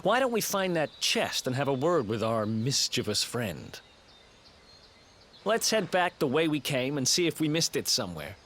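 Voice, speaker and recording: A man's voice: mid-range voice, sing-song, normal speed, a bit bright, slight background noise. A man playing a cheeky, streetwise young adventurer in a video game, with a casual, teasing, wry manner. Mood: baffled, wry. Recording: game music behind.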